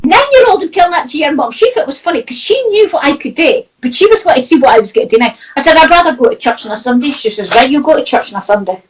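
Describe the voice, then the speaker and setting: A woman's voice: high voice, lively intonation, rapid-fire, hardly any pauses, recorded from a distance, low-quality recording. A Scottish woman with a Doric accent, a spiritual medium chatting casually and telling anecdotes, with lots of "you know" and laughter. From Scotland. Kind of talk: casual chat about spirituality.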